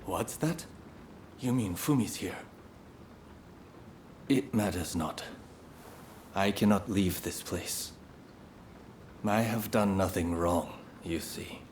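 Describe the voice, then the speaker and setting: A dignified, unyielding captive master in a video game. The voice is low and the delivery slow and formal. Soft ambience is faintly heard behind it. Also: male voice, a little hiss or hum. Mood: stoic, resigned. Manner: formal, measured, stoic. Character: grave, dignified imprisoned master, stoic and unyielding. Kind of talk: video game cutscene acting.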